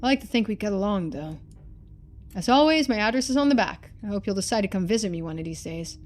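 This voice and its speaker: A woman's voice: mid-range voice, expressive, fast, darkish tone, close to an everyday voice. A woman voicing a loving, lonely middle-aged father in a story game, reading his letters in an earnest, folksy manner. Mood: wistful.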